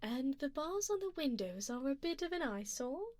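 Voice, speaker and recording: Woman acting a noble female vampire, ASMR roleplay, high soft voice, whispery, close dry microphone, formal, doting, possessive, gently bossy. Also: big pitch swings, no echo. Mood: haughty, amused. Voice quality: soft, breathy whispered passages. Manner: genteel, measured, formal, gently commanding. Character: refined, doting, possessive female vampire duchess, playfully condescending. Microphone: close binaural microphone, dry.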